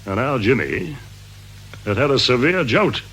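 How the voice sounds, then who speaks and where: Sharp, animated British police inspector. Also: male voice, mid-range voice, a little slow. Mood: satisfied, dry. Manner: probing, dry, authoritative. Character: shrewd, commanding male police inspector, quietly cunning. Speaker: police inspector in a radio crime drama. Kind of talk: radio drama acting. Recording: faintly noisy old radio recording.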